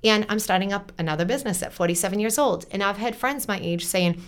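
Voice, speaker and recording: A woman's voice: medium pitch, quick pace, slight background noise. Encouraging American woman in her forties. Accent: Boston-area American. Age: 40s. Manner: earnest, encouraging, motivational. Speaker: podcast guest, entrepreneur. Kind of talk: casual chat.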